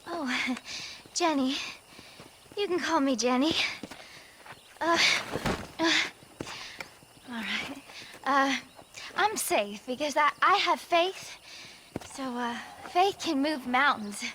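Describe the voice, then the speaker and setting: Game role: frail pious young woman, very high, slow, halting, faint background noise. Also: expressive, a bit bright, full character voice. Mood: dreamy, nervous. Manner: halting, dreamy, fervently pious. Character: frail, devout young woman, feverish and rapturous. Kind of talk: video game dramatic acting.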